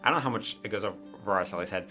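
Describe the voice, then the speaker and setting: A man's voice: low voice, rapid-fire, sharp tone, soft background music. A man narrating an improvised tabletop game as game master, conversationally, often checking in with his players.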